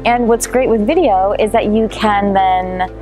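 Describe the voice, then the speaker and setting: A woman's voice: mid-range voice, a little slow, dark tone. A woman with a New Jersey accent, a home staging expert speaking confidently and upbeat as a guest in a casual interview, handing out practical tips. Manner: confident, advice-giving, upbeat. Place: indoors on a couch. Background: background music.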